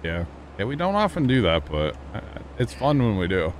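A man's voice: fairly low pitch, big pitch swings, moderate pace, a little hiss or hum. A man hosting a casual gaming livestream from home, chatty and laid-back, laughing often with a co-player. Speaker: livestream host. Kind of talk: casual gaming stream chat. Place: home streaming setup.

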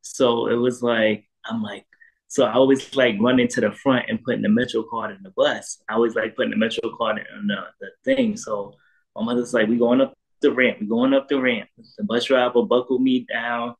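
Male interview guest, 30s, New York accent, informal storyteller, halting delivery with repeated phrases. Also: medium pitch, level tone, poor audio. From Harlem, New York, United States. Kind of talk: casual personal storytelling. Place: indoor room at home, video call. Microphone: video call.

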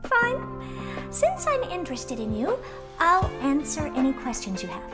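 A self-absorbed young genius researcher in a video game. Her voice is very high and swings animatedly as she talks down to everyone with flippant bluntness. Music plays behind her. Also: measured pace, heavily acted. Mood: aloof, matter-of-fact. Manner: flippant, condescending, theatrically expressive. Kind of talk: video game character dialogue.

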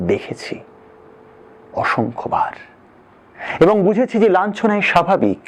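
Male poetry reciter, very unhurried, medium pitch, solemn, deliberate phrasing, dramatic pauses.

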